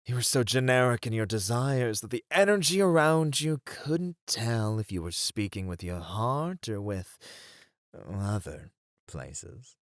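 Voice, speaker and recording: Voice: mid-range voice, animated, measured pace, dry recording, clean studio sound. A performer voicing a playful, smugly flirtatious demon in an ASMR roleplay, with a drawling, theatrical, teasing delivery. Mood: teasing, amused.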